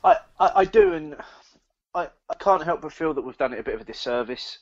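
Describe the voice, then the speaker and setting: A man co-hosting a podcast in casual chat, speaking haltingly and repeating words as he thinks aloud. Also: a little high, wildly swooping pitch, highs cut off. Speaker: podcast co-host. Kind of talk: casual chat, beer review.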